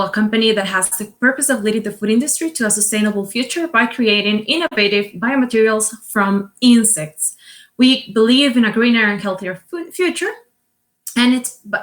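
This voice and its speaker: A woman's voice: on the high side, a bit bright. Woman presenting her company. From Costa Rica. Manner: earnest, explanatory, with frequent fillers and restarts. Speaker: company co-founder and CEO. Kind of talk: business presentation.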